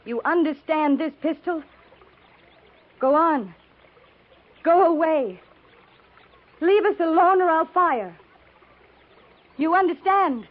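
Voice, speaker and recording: A woman's voice: very high voice, animated, slow, pauses often, heavily acted. An actress playing a firm, protective frontier woman in a 1950s radio western, giving clipped commands. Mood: defiant. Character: steely, protective frontier woman standing guard with a pistol. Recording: muffled, hissy 1950s radio recording.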